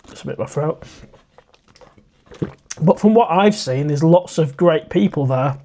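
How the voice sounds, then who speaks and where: A man's voice: on the high side, animated, takes it slowly, long pauses, darkish tone. A man, hosting a casual live stream and chatting in a friendly way as he reads out viewer comments. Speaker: livestream host.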